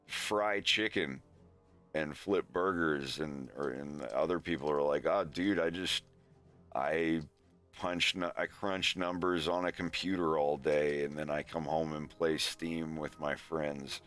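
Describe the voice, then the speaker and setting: A man's voice: very deep voice, steady tone, a little slow, a bit bright, clear, resonant tone, soft background music. An American man streaming from home, rambling in casual chat and peppering his talk with "like", "dude" and swearing. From United States.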